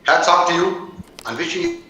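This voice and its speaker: A man's voice: sits high, wildly swooping pitch, normal speed, pushed and bright. A man hosting an event, thanking a guest in formal, courteous words. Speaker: event host. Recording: very echoey, distant, faint hiss.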